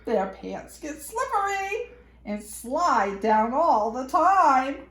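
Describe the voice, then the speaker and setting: A woman reading a picture book aloud to young children in an expressive, playful way with dramatic emphasis. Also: high voice, slow. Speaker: children's storyteller. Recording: echoey room.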